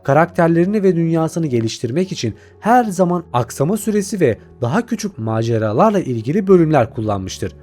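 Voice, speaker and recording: A man's voice: fairly high pitch, dark tone. A man delivering scripted video essay narration as a channel host.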